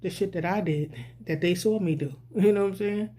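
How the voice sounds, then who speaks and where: A man's voice: sits high, fairly quick, mellow. A man vlogging a rambling, casual social commentary, often repeating "you know what I'm saying.".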